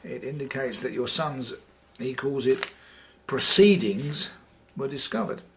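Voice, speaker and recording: An actor playing a polite, persistent police sergeant in a period radio drama, questioning formally and deferentially with halting care. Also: male voice, medium pitch, natural voice. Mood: careful, persistent. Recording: clear background noise and ambience.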